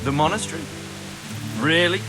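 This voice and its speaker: An actor playing an authoritative, cautious older lord in a video game, commanding yet fatherly, with formal and deliberate phrasing. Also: fairly high pitch, music in the background, lightly acted, poor audio. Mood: troubled, grave. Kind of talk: dramatic video game dialogue.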